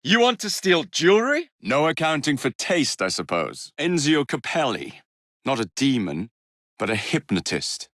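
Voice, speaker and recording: Swaggering young Victorian gang boss, English accent, sardonic wit, lively pitch swings, close dry studio sound. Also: male voice, no echo. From England. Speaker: video game voice actor. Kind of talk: scripted game character lines.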